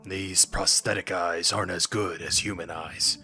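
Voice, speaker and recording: A man playing a battle-scarred military unit commander in an audio drama, gruff, measured and authoritative. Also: sits low, fairly even intonation, sharp tone, faint music behind. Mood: stern.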